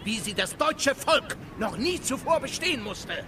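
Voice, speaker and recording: An actor playing a grave, worried courtier in a historical radio drama, foreboding and deferential. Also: male voice, very high voice, lively intonation, moderate pace. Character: grave, worried male courtier warning of war. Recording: distant mic, noisy outdoor background.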